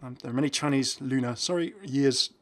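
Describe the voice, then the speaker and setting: A man's voice: mid-range voice, brisk, a bit bright. Chatty man giving casual game commentary. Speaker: gaming video host.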